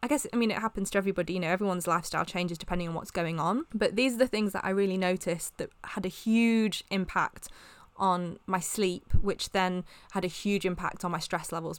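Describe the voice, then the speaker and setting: Young woman, casual vlog chat, a bit croaky, smooth voice, dry close sound. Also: fairly low pitch, brisk, no room sound. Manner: chatty, rambling, many fillers. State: slight sore throat, a bit croaky. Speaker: wellness vlogger. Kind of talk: casual talk to camera.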